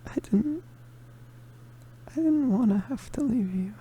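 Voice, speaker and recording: A woman playing a gentle, protective young male witch who is hurt and vulnerable, speaking in halting, breathy, whispered ASMR roleplay. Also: a little high, lively intonation, exaggerated voice. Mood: tearful, scared. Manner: halting, stammering, hesitant. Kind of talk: ASMR roleplay, soft intimate acting.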